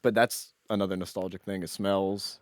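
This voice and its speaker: A man's voice: low-pitched, flat delivery, normal speed, no echo. A man co-hosting a podcast, explaining his thoughts informally in casual conversation. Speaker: podcast co-host.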